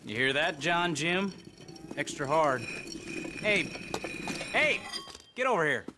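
A man playing a brisk, bossy ranch foreman in a video game, with a rural American accent and a commanding, plain-spoken way. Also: a little high, big pitch swings, noisy background. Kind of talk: video game cutscene acting.

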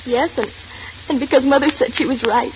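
A woman's voice: high voice, moderate pace, natural voice. An actress playing a sulky, distraught, self-dramatizing teenage schoolgirl in a 1940s radio drama. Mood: subdued, defensive. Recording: noisy, muffled 1940s radio recording.

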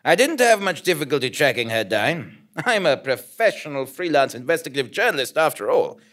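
An actor playing a self-important, bumbling investigative journalist, theatrical and pompous, in a live comedy audio drama. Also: male voice, on the high side, very animated, a little fast, a bit bright. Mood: smug. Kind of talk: live comedic audio-drama performance, first-person narration and dialogue. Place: on a small theatre stage before a seated audience. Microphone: stand microphone.

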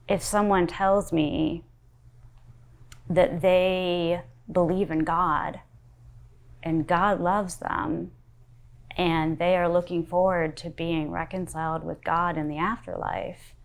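A woman in her forties, a pastor, answering interview questions in a thoughtful, measured way, recorded with a lapel mic in a wood-panelled study. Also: on the low side, steady tone. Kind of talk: sit-down interview.

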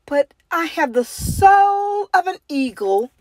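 Woman voicing an eager little penguin, high-pitched. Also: sing-song, slow, a little mellow, no room sound, exaggerated voice. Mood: proud, earnest. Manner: earnest, exclamatory. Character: eager, dreamy little male penguin, earnest and undaunted.

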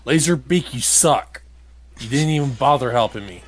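A man with an average-pitched voice commentates casually as he plays a game. His manner is blunt and a little grumbly, in loose conversational phrases. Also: expressive, moderate pace, no echo. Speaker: gamer playing and commentating. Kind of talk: casual gameplay commentary chat.

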